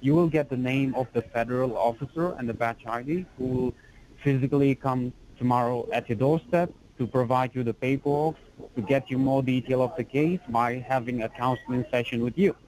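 A man posing as an officer on a phone call, talking formally and insistently with halting restarts. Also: mid-range voice, darkish tone.

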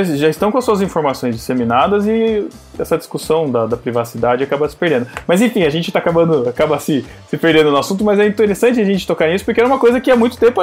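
A man co-hosting a casual podcast chat, animated and rambling, often restarting his sentences. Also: on the high side. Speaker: podcast co-host.

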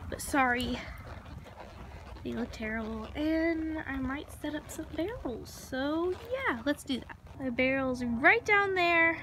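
A woman's voice: sits high, expressive, slow, pushed and bright. A young woman filming herself at an outdoor barn on a handheld phone, chatting to the camera and coaxing her horse with praise. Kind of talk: casual vlog narration. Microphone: phone held in hand while riding. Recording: noisy outdoor phone recording.

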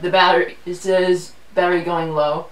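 A woman's voice: fairly low pitch, level tone, normal speed. A woman laughs and grumbles at a video game, tossing out exasperated exclamations between giggles. Her voice is caught from across an echoey living room by a distant camera. A faint hum of room noise runs behind her. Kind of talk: casual chat while playing a video game. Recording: roomy, distant home video.

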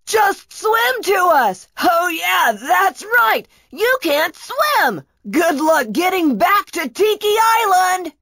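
A mocking, power-hungry Tiki schemer for a children's tale , as a woman plays him. He sneers and boasts with big pitch swings, recorded dry and close. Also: sing-song, takes it slowly, creaky, fairly bright tone, no room sound, full character voice.

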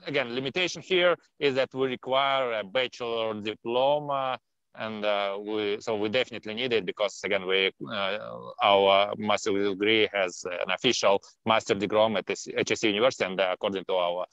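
Low, smooth man on dull dry call audio. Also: steady tone, brisk, a bit bright, dry recording. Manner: friendly, filler-heavy explanation. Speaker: program representative. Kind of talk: webinar Q&A answer. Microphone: video call.

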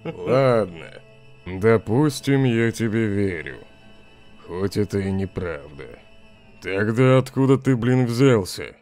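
A man voicing a grumpy, suspicious, foul-mouthed skeleton henchman in a comic dub, gruff and irritable. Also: fairly low pitch, expressive, takes it slowly, darkish tone, soft background music. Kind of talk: fan comic dub acting.

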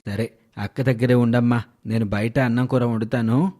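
A man voicing a caring middle-aged village father who speaks tenderly to his child in an animated moral story. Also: medium pitch, normal speed, close to an everyday voice. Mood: gentle.

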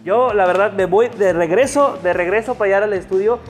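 High-voiced upbeat Mexican man. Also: fairly quick, soft background music. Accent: Mexican Spanish. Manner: upbeat, eager interviewer. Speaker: host. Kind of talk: product review chat. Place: motorcycle gear shop, indoors.

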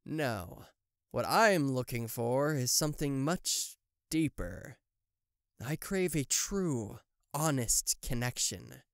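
Man acting a slow-talking possessive demon CEO. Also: mid-range voice, expressive, no room sound, studio quality. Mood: wheedling, confiding. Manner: theatrical, condescending, deliberate. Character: possessive, smug male TV-headed demon tech CEO. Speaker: voice actor. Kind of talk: yandere ASMR roleplay addressed to the listener.

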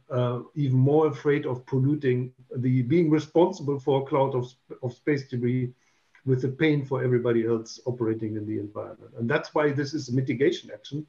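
A man in his fifties, an English speaker, explaining carefully as a panel guest on a video call and pausing on many "uh" fillers. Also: mid-range voice, steady tone, warm tone. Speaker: panel guest, space safety expert. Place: home room, indoors. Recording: dull lo-fi call audio.

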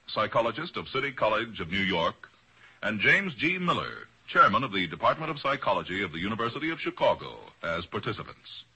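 An American man announcing in a formal, emphatic 1940s radio style, on a rough old recording. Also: on the low side, pushed and bright, rough recording.

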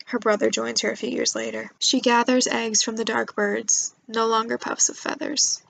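High, even-toned young woman storyteller. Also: normal speed, creaky, a little hiss or hum, poor audio. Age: young adult. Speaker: student storyteller. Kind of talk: scripted poetic storytelling.

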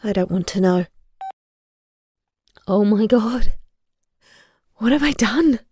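A woman's voice: mid-range voice, animated, takes it slowly, noisy background. A woman playing a reluctant, guilt-ridden young informant, subdued and hesitant, in an audio drama. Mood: guilty, afraid.

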